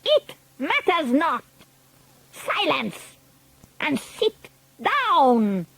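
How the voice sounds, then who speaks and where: A woman's voice: high-pitched, wildly swooping pitch, drawn-out words, gravelly, fairly bright tone, dry recording, voice pushed up, exaggerated voice. A woman voicing the imperious, cruel leader of the witches in a storybook reading, with a grand and cold commanding manner, on a dry, close recording.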